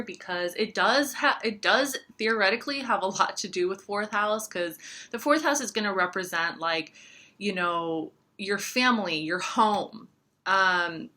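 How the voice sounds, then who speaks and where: A woman's voice: lowish voice, sharp tone. A woman in her thirties, a Serbian-speaking astrologer and tarot reader, chatting casually to camera in a rambling way with lots of fillers. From United States. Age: 30s. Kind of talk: casual talking-to-camera chat.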